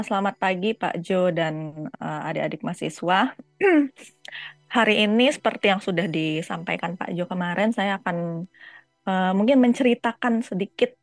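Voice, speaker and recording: A woman lecturer with a cold and a stuffy nose, giving an online lecture with many fillers, and clearing her throat often. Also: on the low side, dry recording.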